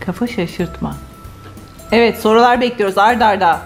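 A woman's voice: medium pitch, moderate pace. Female, playful parent hosting a home family game, casual conversational delivery, music underneath. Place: home living room.

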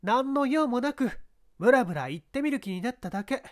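A man voicing a haughty, high-pitched defiant woman. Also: lively intonation, fairly quick. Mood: brazen, scornful. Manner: haughty, sharp-tongued, feminine speech. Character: defiant, brazen young woman, a baseball scout. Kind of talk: dramatised audiobook reading.

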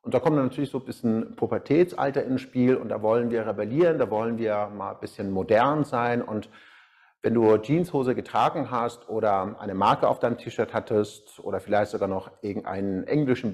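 A man's voice: lowish voice, a little mellow. A middle-aged man from Iran, speaking as a coach in an online seminar and telling personal stories. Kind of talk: live-stream seminar talk, personal storytelling. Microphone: small lapel mic.

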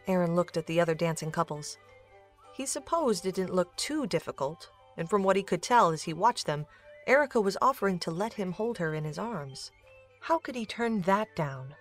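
A woman narrator reads a scripted story in a slightly low voice. Soft music plays behind her narration. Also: animated, normal speed.

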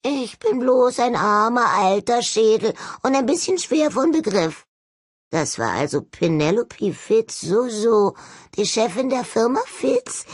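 A sardonic old talking skull from a storybook , acted out by a woman. He is a sly ghost who drips mock politeness. His voice is pitched up and swoops in lively curves. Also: husky, no room sound, clean studio sound, full character voice.

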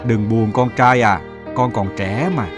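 A man voicing a calm, wise older father who advises in a measured, gently instructive way in a cartoon. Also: lowish voice, music in the background, close to an everyday voice. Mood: concerned. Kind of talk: Vietnamese moral-story cartoon dubbing.

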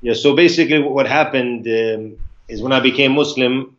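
A man's voice: mid-range voice, normal speed, fairly bright tone. An American man in his forties, an Islamic lecturer, talking through a video call and answering interview questions with lots of restarts and Arabic religious phrases. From United States (Virginia). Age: 40s. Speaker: guest speaker, Islamic lecturer. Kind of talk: live online interview. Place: indoor room, plain wall. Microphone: video call webcam. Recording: muffled lo-fi video call audio.

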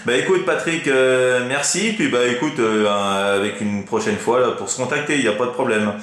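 A man's voice: mid-range voice, moderate pace, a bit bright. Very echoey man chatting on CB radio. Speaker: amateur CB radio operator. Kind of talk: casual radio contact chat. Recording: very echoey radio microphone sound.

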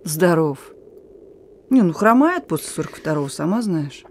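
A woman's voice: deep voice, expressive, slight background noise. An actress in a radio play voicing a bold, teasing young woman who is a wartime partisan messenger. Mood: frank, teasing. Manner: playful, teasing, brisk, sometimes singing softly.

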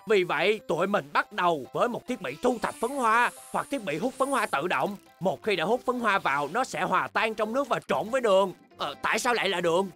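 A woman voicing an excitable, boastful young bear cub in a children's cartoon, with exaggerated, childlike delivery. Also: medium pitch, brisk, few pauses, faint music behind, lightly acted. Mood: boastful, scheming. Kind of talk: animated children's cartoon dubbing.

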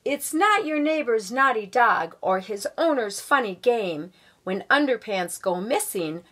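A middle-aged woman reading a children's picture book aloud at home with expressive, drawn-out storytelling delivery. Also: a little high. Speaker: volunteer guest story reader. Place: small room at home.

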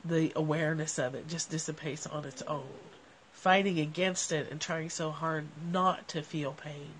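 A woman's voice: low voice, moderate pace, a little hiss or hum, rough recording. A middle-aged woman with a cold and a nasal voice, talking casually to her livestream viewers on a covered porch, phone held at arm's length. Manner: animated, gestures a lot while talking. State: has a cold. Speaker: livestream host. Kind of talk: casual reflective talk to viewers.

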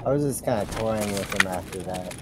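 A young man calmly chatting with his squad while playing a shooter, easygoing and low-key. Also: fairly quick. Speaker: gamer in a squad. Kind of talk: casual voice chat while playing. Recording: noisy game audio behind.

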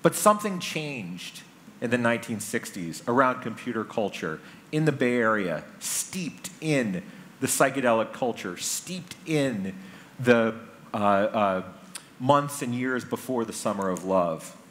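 A middle-aged man speaks to a conference from a stage, in a medium-pitched voice. He lays out his story in deliberate, emphatic phrases, pausing for effect. A headset mic picks him up with some noise behind. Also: expressive, fairly bright tone. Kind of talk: prepared public talk, storytelling lecture. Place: large conference hall stage. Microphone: headset microphone. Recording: stage talk with background noise.

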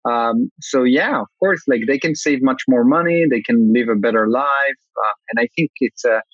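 Relaxed man on a dull, lo-fi video call. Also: medium pitch, moderate pace, dry recording. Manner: relaxed, many ums and fillers. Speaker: guest, company CEO. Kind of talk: casual video-call interview.

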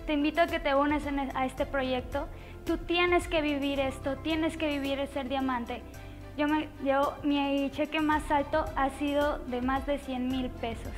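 Early 20s woman, Mexican accent, high voice, earnest pitch to camera. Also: fairly even intonation, a little slow, soft background music. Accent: Mexican Spanish. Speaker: company testimonial speaker. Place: bright indoor studio. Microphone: lapel mic.

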